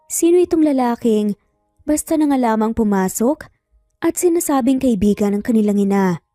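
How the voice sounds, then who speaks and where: Filipino female narrator for dramatic story, gentle dark timbre, very dry recording. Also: fairly high pitch, expressive, normal speed, no room sound, faint music behind.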